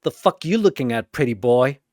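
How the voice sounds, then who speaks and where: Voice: mid-range voice, animated, brisk, darkish tone, dry recording, clean studio sound, natural voice. A narrator voicing a brash, cocky, tough brawler woman who talks in a blunt, punchy way in an action story. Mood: shouting, combative.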